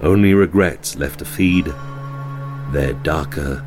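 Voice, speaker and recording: A man's voice: rumbling low, takes it slowly. A man playing a brooding horror anthology host, narrating in a solemn, portentous, scripted style. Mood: ominous, solemn. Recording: music under the narration.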